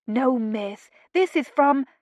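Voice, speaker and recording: A woman voicing a chatty, excitable young housemaid who talks in quick, gossipy bursts. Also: high-pitched, no room sound, muffled recording, voice pushed up. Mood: excited.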